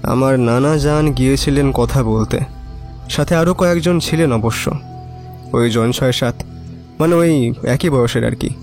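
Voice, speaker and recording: A man's voice: mid-range voice, a little slow, pauses often, a little mellow, faint music behind, close to an everyday voice. An actor playing a cynical, resigned young neighbourhood man, talking colloquially and wryly in an audio drama. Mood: resigned, gossipy. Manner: colloquial, emphatic repetition, wry. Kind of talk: Bengali audio drama acting.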